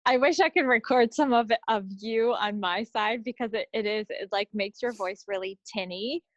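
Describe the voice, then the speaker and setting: A woman's voice: on the high side, moderate pace, no echo, highs cut off. A woman co-hosting a casual podcast, chatting remotely over an internet call. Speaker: podcast co-host. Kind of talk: casual chat. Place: in a yarn shop, on a remote call.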